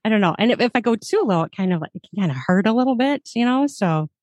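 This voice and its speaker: A woman's voice: medium pitch, animated, quick pace, a little mellow, dry recording. A woman, a podcast host and audiobook narrator, chatting casually and laughing often, recorded in a small foam-lined home booth. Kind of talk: casual chat.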